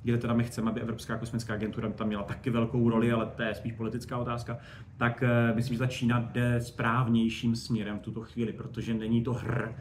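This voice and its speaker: A man's voice: medium pitch, monotone, a little hiss or hum. A man giving conversational, enthusiastic and discursive answers as a guest expert on spaceflight.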